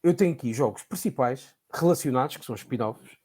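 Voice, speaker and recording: A man's voice: mid-range voice, animated, brisk, darkish tone, breathy edge. A man hosting a casual quiz game among friends, explaining the rules with hesitant restarts. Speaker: quiz host.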